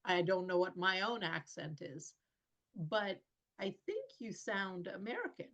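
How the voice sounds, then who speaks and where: A woman's voice: on the low side, lively intonation, a little slow, fairly bright tone, highs cut off. A woman hosting a podcast interview, asking musing questions and offering short encouraging affirmations. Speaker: podcast host. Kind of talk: conversational interview.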